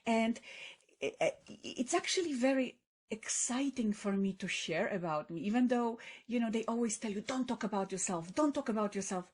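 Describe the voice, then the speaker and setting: Woman, Polish accent, breathy voice, middle-aged, lively storytelling, podcast guest. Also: on the high side, normal speed. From Poland. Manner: enthusiastic, expressive storytelling. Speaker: guest, coach and author. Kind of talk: podcast interview.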